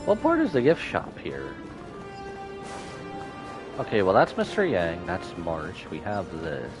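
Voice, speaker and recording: A man's voice: low voice, huge pitch swings, a little slow. A man live-streaming a game with casual commentary, musing aloud in short reactions over game music. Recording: music behind the voice.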